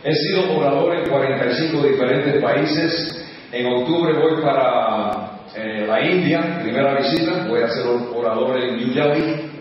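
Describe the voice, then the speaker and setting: A man's voice: mid-range voice, measured pace, slight background noise. A man in his sixties from the United States giving a chatty, joking business talk to a large audience. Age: 60s. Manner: chatty, joking, switches between Spanish and English. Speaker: business speaker. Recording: distant, echoey hall recording, dull sound.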